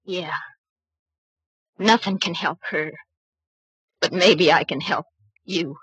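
An actress playing a guilt-ridden, doomed young woman in an old-time radio drama, halting and intense. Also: on the low side, a bit bright, breathy edge, no room sound, full character voice. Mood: grim, resolute. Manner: halting, intense, self-reproaching.

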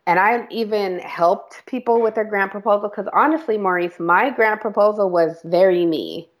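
A woman's voice: mid-range voice, normal speed. A woman creative director speaking as a podcast guest, candid and chatty, laughing now and then and correcting herself as she goes. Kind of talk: casual interview talk.

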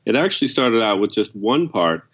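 A man's voice: fairly low pitch, big pitch swings, normal speed, a bit bright, husky. A man speaking casually as an editor guest in an interview.

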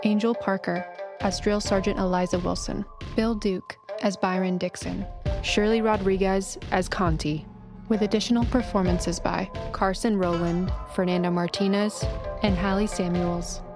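A woman's voice: mid-range voice, fairly even intonation, normal speed. A woman announcer giving a scripted reading of closing credits. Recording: music under the voice.

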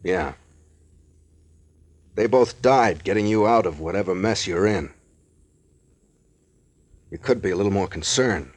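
An actor playing a steady boat captain in an old radio drama, brisk and commanding. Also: male voice, low-pitched. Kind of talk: 1940s radio acting. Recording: old radio recording with hiss.

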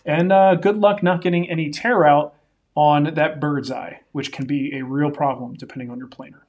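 A man in his forties co-hosting a live woodworking Q&A, giving practical advice in a relaxed, folksy way. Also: a little high, moderate pace, lo-fi sound. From Denver area. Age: 40s. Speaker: woodworking show co-host. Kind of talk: live-stream Q&A, casual chat.

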